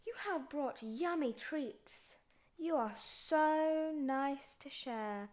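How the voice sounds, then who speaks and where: A woman voicing a gentle, humble male bear in a storybook, soft and sighing. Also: high-pitched, extremely slow. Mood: downcast.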